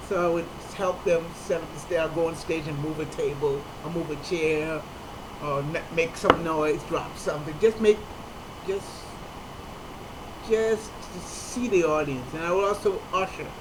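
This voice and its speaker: A comedian, a man with a high voice for a man, telling a personal story in an earnest, halting way with frequent restarts. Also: noisy background. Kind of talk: personal talk to camera.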